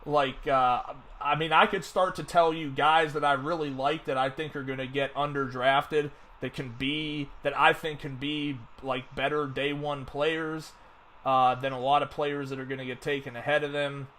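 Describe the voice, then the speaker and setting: A man's voice: fairly high pitch, flat delivery, normal speed, sharp tone, slight background noise. A man hosting a casual fantasy football podcast, rambling with lots of fillers and restarts. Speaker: podcast host. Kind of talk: casual sports podcast chat.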